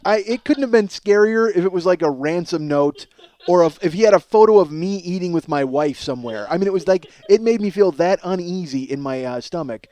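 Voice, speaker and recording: A man in his forties with a slightly hoarse voice, hosting a podcast as a casual comedic monologue, rambling, profane and self-deprecating. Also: high-pitched, expressive, brisk, warm tone, dry recording. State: slightly hoarse from strained singing. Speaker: podcast host.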